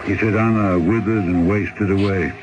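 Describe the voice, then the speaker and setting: A man's voice: low-pitched, fairly even intonation, normal speed, background noise, close to an everyday voice. An actor playing a blunt, earnest male river boatman in a radio drama, first scolding and then coaxing. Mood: guarded. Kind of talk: 1970s radio drama acting. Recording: dull old radio broadcast. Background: music score.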